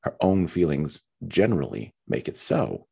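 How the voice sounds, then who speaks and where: A man reading a calm, sensible young woman. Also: low voice, normal speed, vocal fry, slightly rough, heavily acted. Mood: soothing. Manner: gentle and reassuring.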